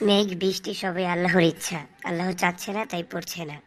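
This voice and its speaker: A woman's voice: lowish voice, little pitch movement, fast, lightly acted. A woman playing an earnest schoolteacher in a cartoon, explaining and advising children. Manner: instructive, explanatory. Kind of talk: didactic cartoon dialogue.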